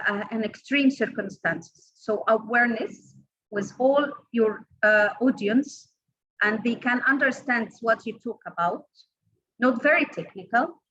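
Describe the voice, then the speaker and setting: High-voiced Egyptian woman trainer, halting. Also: a bit bright, slightly rough, lo-fi sound. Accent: Egyptian Arabic. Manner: halting, many fillers and restarts. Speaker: workshop trainer. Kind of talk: online training lecture. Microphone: online call audio.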